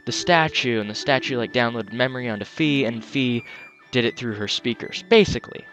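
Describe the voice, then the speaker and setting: A man's voice: mid-range voice, brisk, a bit bright. Joking man reading game text aloud. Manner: joking, stumbles and restarts while reading. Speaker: let's play commentator. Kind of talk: casual game commentary, reading dialogue aloud. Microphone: headset. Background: game music.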